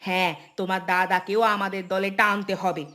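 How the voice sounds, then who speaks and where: A woman's voice: medium pitch, flat delivery, brisk, sharp tone, natural voice. Woman acting a scheming, insistent village gossip. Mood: gloating, conspiratorial. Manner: insistent, dramatic, conspiratorial. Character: scheming, gossiping, vengeful village sister-in-law. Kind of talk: Bengali cartoon voice acting.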